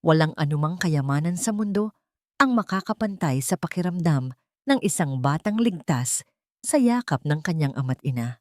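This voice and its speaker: A woman's voice: low voice, lively intonation, normal speed, dry recording, studio quality. A woman giving an earnest, scripted dramatic narration as a sentimental storyteller. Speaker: storyteller and channel host.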